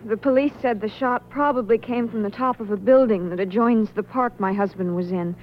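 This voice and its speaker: An actress playing an earnest, anguished young widow in a radio drama. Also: female voice, fairly high pitch, fairly quick, a little hiss or hum, lightly acted. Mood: troubled, earnest. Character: anguished young widow in her early twenties. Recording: dull old radio broadcast.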